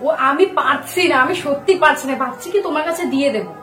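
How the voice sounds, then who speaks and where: A woman making a casual prank phone call, teasing in a halting, hesitant way. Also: high-pitched, pushed and bright. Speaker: prank caller, channel host. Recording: echoey room, faint music.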